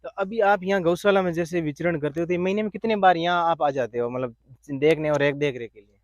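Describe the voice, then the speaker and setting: A man's voice: a little high, brisk, muffled recording. A man puts informal questions as an interviewer. The sound is dry, with hardly any echo.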